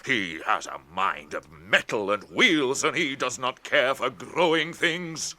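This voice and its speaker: An actor playing an ancient, kindly tree-giant in a British radio drama, ponderous and musing, with old-fashioned phrasing and a temper that can be stirred. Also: male voice, mid-range voice, animated, slow pace, bright, forceful tone. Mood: bitter, wrathful.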